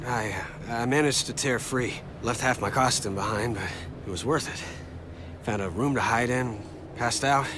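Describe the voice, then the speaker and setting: A man's voice: medium pitch, normal speed, noisy background, lightly acted. An actor playing a sarcastic young male superhero in a video game, quipping through banter while the fight goes on. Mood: dazed. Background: loud game music.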